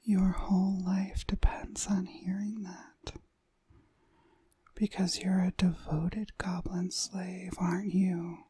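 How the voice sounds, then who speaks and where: A woman playing a dominant, seductive witch mistress in a whispered ASMR hypnosis roleplay, breathy, soothing and commanding. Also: on the low side, flat delivery, slow pace, mellow, no echo, full character voice.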